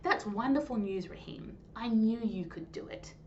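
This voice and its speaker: Woman playing a gentle, lilting storybook grandmother. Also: fairly high pitch, expressive, moderate pace. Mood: proud, gentle. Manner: gentle, reassuring, patient. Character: kind, wise, encouraging grandmother of a schoolboy. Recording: dull lo-fi sound, faint noise.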